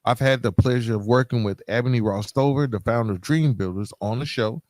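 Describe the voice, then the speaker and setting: A man's voice: mid-range voice, fairly quick, darkish tone, dry recording. A middle-aged man hosting a podcast, talking informally and directly to his listeners in a conversational solo monologue. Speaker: podcast host.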